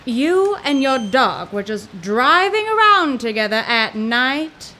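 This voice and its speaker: A high, bright-voiced young woman of the 1930s, brash, suspicious and combative. Her delivery swings widely, full of wisecracking slang in old radio comedy style. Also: big pitch swings, takes it slowly, slight background noise, heavily acted. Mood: suspicious, accusing.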